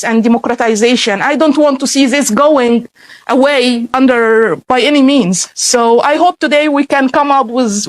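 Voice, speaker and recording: A woman from Egypt, giving an earnest, measured prepared statement as a guest speaker. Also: sits high, no room sound, rough recording.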